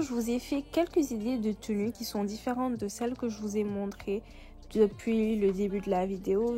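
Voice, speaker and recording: Woman with a cold, mellow voice-over. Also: a little high. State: has a head cold. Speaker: fashion vlogger.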